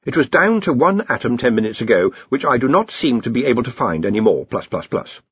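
A male reader acts an impassive thinking machine from a fantasy storybook. It reports precisely and literally in a middling, slightly husky voice, with a faintly muffled sound. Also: medium pitch, very animated, brisk, fairly bright tone, no echo, heavily acted.

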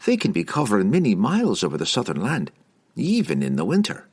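A man's voice: lowish voice, wildly swooping pitch, brisk, a little mellow, slightly rough, voice pushed up, heavily acted. A man voicing a cheerful, stubbornly upbeat woman companion in a fantasy audiobook. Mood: curious.

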